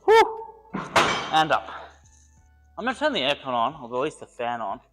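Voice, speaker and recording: Lively man rambling through a workout. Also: on the high side. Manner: rambling, joking, thinks aloud between sets. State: slightly congested from a cold. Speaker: personal trainer. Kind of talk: casual workout vlog talk.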